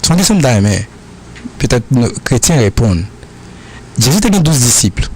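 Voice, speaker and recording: A man's voice: mid-range voice, very animated, a little mellow, slightly rough. Earnest Haitian man answering questions. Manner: earnest, repetitive, halting phrasing.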